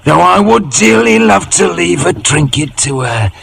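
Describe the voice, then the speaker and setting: Crafty old pirate, west country talk, really animated and forceful, mock polite, vintage radio drama. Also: male voice, sits high, a little slow, gravelly, full character voice. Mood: wheedling. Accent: stage West Country pirate. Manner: wheedling, mock-deferential, swaggering. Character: wily, wheedling one-legged old pirate, male. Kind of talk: 1930s-40s radio acting. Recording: noisy old radio broadcast recording.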